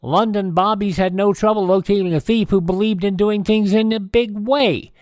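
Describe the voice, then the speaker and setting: Higher-pitched American man reading news. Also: fairly even intonation, normal speed, darkish tone, clear, resonant tone, no echo, studio quality. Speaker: radio show host reading old newspaper stories. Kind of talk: scripted news narration.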